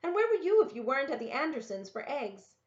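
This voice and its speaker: A woman's voice: high-pitched, very animated, fast, pitched above the performer's own voice. A woman voicing an angry, accusing young sister with a hissing, indignant manner in a storybook. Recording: roomy, echoey, dull lo-fi sound.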